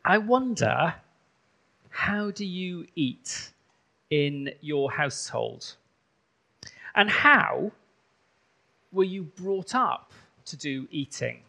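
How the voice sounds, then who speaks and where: Gravelly-voiced Welsh-born bishop preaching. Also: male voice, high voice, sing-song, drawn-out words, long pauses. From rural Wales. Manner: warm, conversational preaching with frequent ums. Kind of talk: sermon. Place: church.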